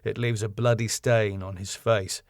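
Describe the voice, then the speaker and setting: A man's voice: lowish voice, steady tone, measured pace, no room sound. Man narrating a script, very dry sound. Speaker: writer reading his own script.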